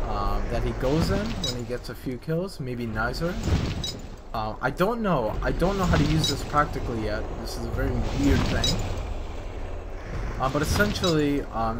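A man's voice: mid-range voice, very noisy. A man giving casual, hesitant gameplay commentary in a rambling, self-deprecating way, as the developer of a game map. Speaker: map developer narrating a dev vlog.

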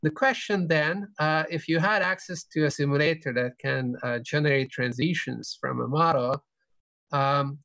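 Man giving a halting academic lecture, dry sound. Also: a little high, gravelly, bright, forceful tone, no room sound. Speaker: keynote speaker, researcher. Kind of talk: academic conference lecture with slides. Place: indoor room, video call.

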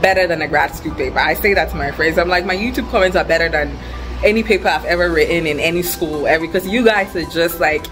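A young woman vlogs in a casual, excited way, talking straight to her viewers. Her voice sits on the low side for a woman. Music plays clearly behind her as she speaks into a handheld camera. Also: quick pace, poor audio. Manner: excited, upbeat. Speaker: vlogger and small business owner. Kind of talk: casual vlog chat to viewers. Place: outdoor storefront and bare empty shop room.

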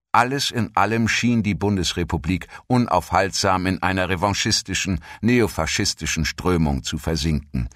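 A man's voice: low-pitched, fairly bright tone, no room sound, studio quality. A man reading scripted documentary narration as a narrator.

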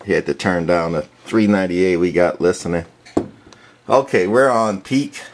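A man's voice: sits low, moderate pace, slight background noise. A man casually demonstrating a vintage radio in his workshop, matter-of-fact and repeating test words into the mic. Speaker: radio collector demonstrating equipment. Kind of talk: casual hands-on demo narration.

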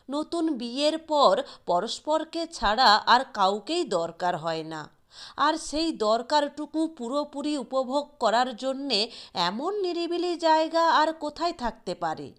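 A woman reading a literary short story aloud as scripted narration. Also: high-pitched. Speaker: audio story reader.

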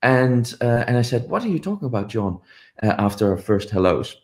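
A man's voice: medium pitch, big pitch swings, fairly quick. A middle-aged man giving a commemorative conference talk over a video call, telling stories with many ums and uhs. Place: home office, indoors. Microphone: video call webcam.

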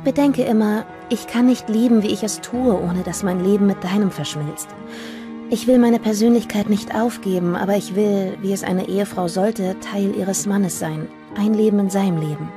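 A woman's voice: mid-range voice, normal speed, lightly acted. An actress playing a devoted, earnest young officer's wife of the nineteenth century, reading her letter in a documentary drama. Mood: earnest devotion. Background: music.

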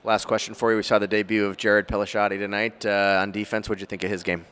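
A man's voice: fairly low pitch, little pitch movement, quick pace, fairly bright tone, a little hiss or hum. A middle-aged man putting postgame questions as a sports reporter into a handheld microphone. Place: indoors before a sponsor backdrop at an arena.

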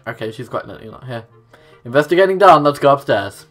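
A man's voice: medium pitch, animated, fast, fairly bright tone, faint music behind. A young man hosting a gaming video, giving casual, joking commentary indoors on a headset. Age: young adult. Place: small indoor room.

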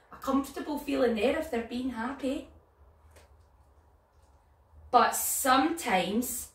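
Northern Irish woman, children's storyteller, fairly high animated voice, recorded a little way from the mic. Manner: animated, addressing young children. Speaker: nursery storyteller. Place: living room at home. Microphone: camera a few metres away. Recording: roomy, distant mic, background noise.

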